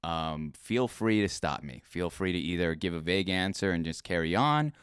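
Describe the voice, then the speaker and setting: A man hosts a laid-back interview and chats in a rambling, friendly way, stopping and restarting as he thinks aloud. His voice sits low but moves a lot. The sound is smooth and dry, close to the mic. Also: animated, normal speed, fairly bright tone, dry recording. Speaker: podcast host. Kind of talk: casual interview.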